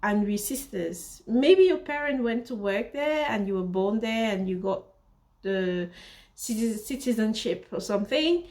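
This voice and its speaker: Young woman from africa vlogging, kinda high voice, chatty and animated, lots of likes and ums. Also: measured pace. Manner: animated, acts out dialogue, chatty fillers. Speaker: vlogger and fashion designer. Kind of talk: casual storytelling to camera.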